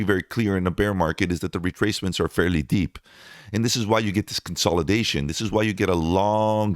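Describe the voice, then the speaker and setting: A man's voice: clean tone, no echo, studio quality. A man who is losing his voice, hosting a crypto channel and giving upbeat market commentary to his viewers. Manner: upbeat salesy pitch, addresses viewers as guys. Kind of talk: market analysis commentary over screen charts.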